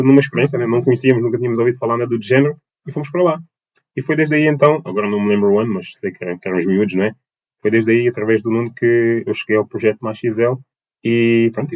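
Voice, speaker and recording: A man's voice: on the low side, a little mellow, highs cut off. A man in his twenties from Portugal, answering as a podcast guest, with many fillers and restarts. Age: 20s. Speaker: podcast guest, dance teacher. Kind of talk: casual interview answers.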